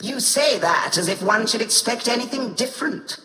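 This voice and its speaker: A woman's voice: a little high, expressive, moderate pace, sharp tone. An actress voicing a haughty, curt female tech-priest in a sci-fi video game, imperious and clipped in her disdain. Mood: irritated. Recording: reverberant echoing hall.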